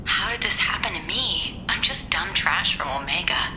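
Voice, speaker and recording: A woman's voice: lowish voice, expressive, normal speed, noisy background, close to an everyday voice. An actress playing an infatuated, insecure young woman in a video game, confiding dreamily in her diary. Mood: smitten, self-doubting.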